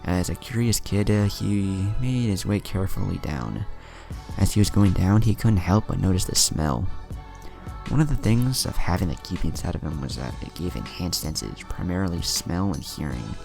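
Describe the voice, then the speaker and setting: Male narrator, very low and gravelly, lively reads, background music. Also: normal speed. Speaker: story narrator. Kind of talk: fan fiction reading.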